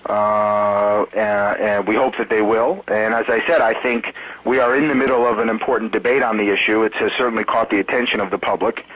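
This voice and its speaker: An American man, a campaign spokesman, answering reporters on a conference call in a halting, measured way. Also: fairly low pitch, steady tone, a bit bright, dull audio. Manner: halting, measured, hesitant delivery.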